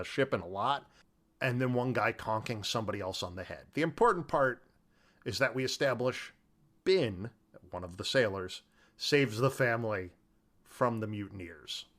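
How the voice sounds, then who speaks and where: A man co-hosting a podcast, casually discussing film in an animated, joking way with rambling asides. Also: mid-range voice. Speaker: podcast co-host.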